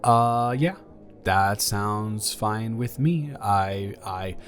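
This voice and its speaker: A man's voice: on the low side, drawn-out words, faint music behind. A man playing an awkward, affectionate young ex-boyfriend in an improvised intimate audio roleplay, talking in a hesitant, playful, earnest ramble. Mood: earnest, reassuring.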